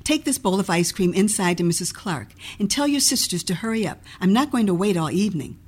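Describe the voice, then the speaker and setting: A woman voicing a stern, brisk mother of a large family from a storybook. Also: mid-range voice, expressive, a little mellow, husky.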